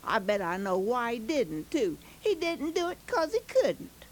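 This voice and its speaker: Woman doing a shrill upset little boy. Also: very animated, a little slow, a little hiss or hum. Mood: indignant. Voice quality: high, shouty. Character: excited little boy yelling.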